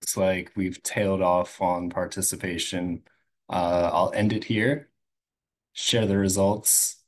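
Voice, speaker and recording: A man's voice: low voice, little pitch movement, slow pace. A man on a video call running a poll and reading results in an easygoing way. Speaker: webinar staff running a poll. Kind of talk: casual announcing.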